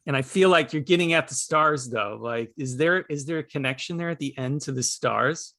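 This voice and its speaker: A man's voice: a little high, moderate pace, pushed and bright, no echo, studio quality. A man asking questions in a casual, thoughtful discussion, hesitantly searching for his words.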